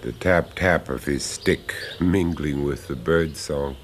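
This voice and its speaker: Deep, slow, uneasy radio-play narrator. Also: male voice. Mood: haunted, reflective. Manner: measured, hesitant, deliberate pauses. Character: uneasy train passenger recounting a macabre encounter. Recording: faint noise, old radio drama.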